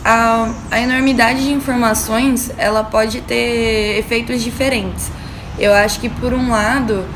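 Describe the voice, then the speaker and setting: Young Brazilian woman, thoughtful, noisy background. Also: mid-range voice. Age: young adult. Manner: thoughtful, frequent fillers. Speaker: university student interviewee. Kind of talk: interview answers.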